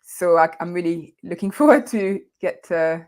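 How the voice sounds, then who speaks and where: French-accented woman coach, slightly low voice, animated, laughs a lot, restarts phrases. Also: moderate pace. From France. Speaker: guest coach. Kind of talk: conversational interview.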